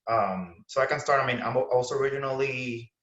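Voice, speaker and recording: A man's voice: mid-range voice, fairly quick, a bit bright. A young man from Puerto Rico on a panel, earnestly telling his story in a conversational way. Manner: earnest, conversational, many fillers. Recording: roomy, dull-sounding video call audio.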